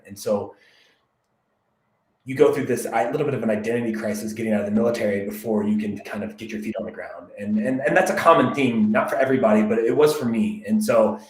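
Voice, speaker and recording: A man from the United States, a realtor guest on a podcast, telling his story in a candid, reflective, measured way. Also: fairly low pitch, darkish tone, reverberant, low-quality recording. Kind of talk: podcast interview.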